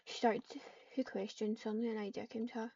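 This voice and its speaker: Mellow woman's voice, muffled noisy mic, typing. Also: fairly high pitch, level tone, brisk. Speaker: online roleplayer. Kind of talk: improvised third-person roleplay narration of a game. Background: keyboard typing and clicks.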